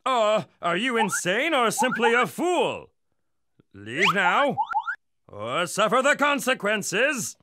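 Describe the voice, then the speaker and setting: A performer voicing a stern, scornful palace guard man in an animated storybook, making slow threats. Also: medium pitch, background noise. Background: music.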